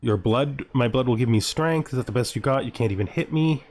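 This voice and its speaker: A man's voice: medium pitch, brisk, darkish tone, natural voice. Man playing a haughty, boastful vampire lord. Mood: haughty, menacing. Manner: imperious, boastful.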